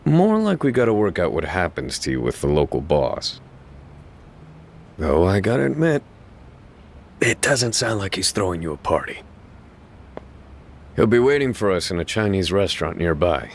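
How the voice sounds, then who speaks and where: An actor playing a wry, casual fixer and guide in a video game dub, with a glib, teasing way of talking. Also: male voice, low voice, sing-song, moderate pace, long pauses, a little hiss or hum. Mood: breezy, wry. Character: breezy, wry male real-estate fixer and local guide.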